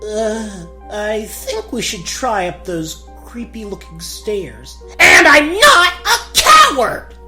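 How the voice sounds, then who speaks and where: A woman's voice: a little high, very animated, slow, hardly any pauses, bright, forceful tone, soft background music, exaggerated voice. A woman voicing a cowardly, easily flustered young prince in a gag-dub cartoon radio play, stammering and breaking into indignant outbursts. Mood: nervous.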